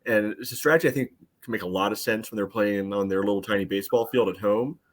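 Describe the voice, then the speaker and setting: A man's voice: lowish voice, fast talker. A man co-hosting a sports podcast, casually explaining soccer tactics with frequent asides.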